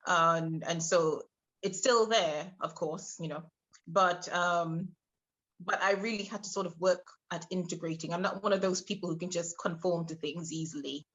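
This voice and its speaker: A woman in her thirties from Trinidad, a podcast guest telling her personal story with many hesitant pauses. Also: fairly low pitch, fairly even intonation, a bit bright. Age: 30s. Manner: hesitant, many filler pauses, laughs between thoughts. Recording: muffled lo-fi audio.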